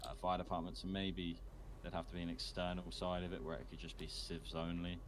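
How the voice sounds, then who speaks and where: A man's voice: deep voice, flat delivery, a little fast, a little hiss or hum. A teenage boy on casual voice chat, speaking in a joking, playful way. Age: teen.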